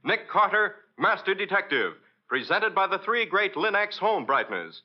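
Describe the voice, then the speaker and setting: A man's voice: high-pitched, big pitch swings, normal speed. A male announcer reading scripted introductions and sponsor copy in an emphatic, polished 1940s radio style. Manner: polished, emphatic sales delivery. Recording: muffled old radio recording.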